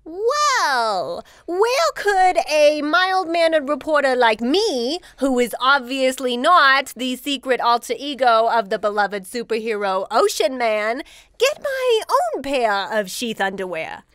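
A woman's voice: high-pitched, big pitch swings, takes it slowly, fairly bright tone, smooth, exaggerated voice. A woman playing a coy superhero who poses as a mild-mannered reporter, with a theatrical, incredulous manner. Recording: reverberant hall.

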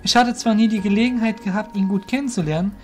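Man voicing an earnest young apprentice warrior, pitched up. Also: quick pace, a little mellow, faint music behind, lightly acted. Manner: anxious, questioning, respectful. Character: Seki, earnest young male apprentice warrior full of questions.

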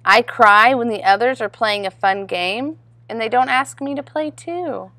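A woman's voice: on the high side, unhurried, sharp tone, no echo. A woman voicing a shy, sad squirrel in a storybook, speaking in a hesitant, plaintive way.

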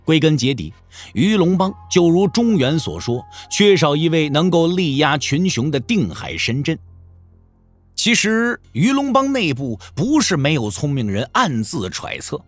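A male narrator tells a martial-arts tale with theatrical emphasis. His voice sits slightly high and moves widely in pitch, drawing out key endings, in a dry studio sound. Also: very animated, unhurried, no echo, soft background music. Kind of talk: dramatic scripted audiobook narration. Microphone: close studio microphone.

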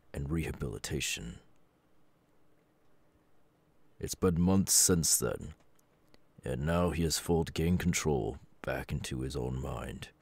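A man playing a stern, gruff middle-aged superhero mentor and father in an ASMR audio roleplay, with a gravelly, husky voice and a measured, grave manner. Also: extremely low pitch, darkish tone, no echo, full character voice. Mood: grave, hesitant. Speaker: voice actor.

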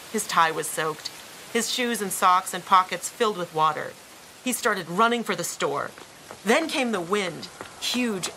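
A woman narrating an audiobook in a dramatic, deliberate style with weighted pauses and emphasis. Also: medium pitch, pushed and bright, slight background noise, low-quality recording.

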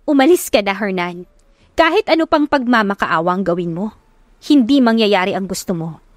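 A woman's voice: medium pitch, expressive, normal speed, no echo, studio quality, natural voice. A woman voicing a wronged wife, resolute and emotional, in an audiobook romance drama. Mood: firm, angry. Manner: firm, emotional.

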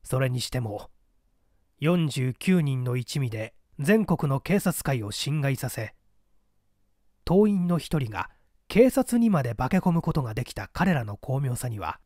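A man's voice: medium pitch, big pitch swings, a little slow, darkish tone, no room sound, clean studio sound. A man narrating a literary story as a scripted audiobook, in a formal, precise, dramatic manner.

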